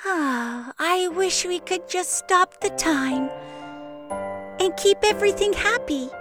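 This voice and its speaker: An actress playing a gentle, wistful guardian fairy who speaks tenderly in a musical fairytale audio drama. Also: female voice, extremely high pitch, takes it slowly, faint music behind, full character voice. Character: gentle, wistful fairy guardian fretting over a grown girl.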